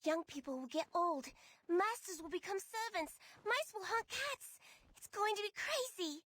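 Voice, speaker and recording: A woman's voice: very high voice, lively intonation, moderate pace, pushed and bright, no room sound, heavily acted. An actress playing a young, gloomy girl prophet in a video game, dramatic and slangy in a girlish way. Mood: urgent, foreboding. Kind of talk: video game character acting. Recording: music behind the voice.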